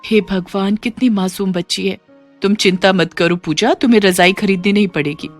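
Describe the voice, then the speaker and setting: A woman voicing a kind, considerate middle-class employer of a maid in an animated story, informal and instructing. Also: medium pitch, moderate pace, faint music behind, close to an everyday voice. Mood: caring.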